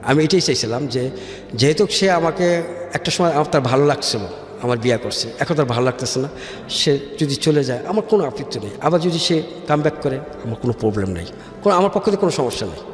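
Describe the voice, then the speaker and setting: A man with a Bangladeshi accent telling his personal story as a radio interview guest, haltingly and often repeating words. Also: on the high side, lively intonation. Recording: echoey hall-like reverb with music. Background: music.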